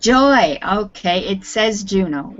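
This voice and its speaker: A woman from Canada teaching a beginner English class online, speaking slowly and clearly and laughing often. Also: mid-range voice, lively intonation, slight background noise. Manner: slow, clear teacher talk with frequent laughs. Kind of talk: friendly online class chat. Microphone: computer microphone on a video call. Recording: muffled lo-fi online call audio.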